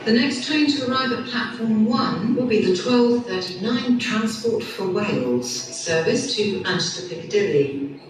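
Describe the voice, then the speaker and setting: A woman delivers formal train announcements at a slow, deliberate pace. Her voice is heard from far off through a heavily echoing station PA. She reads each item as a scripted public notice. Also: mid-range voice, animated. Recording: echoey, distant station PA with music.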